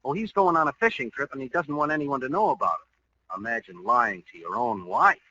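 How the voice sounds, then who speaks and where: An actor playing an eager, bumbling young boyfriend with an American accent in 1940s radio comedy, hesitant and earnest. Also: male voice, medium pitch, sing-song, fairly quick, pushed and bright. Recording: dull, hissy old radio recording.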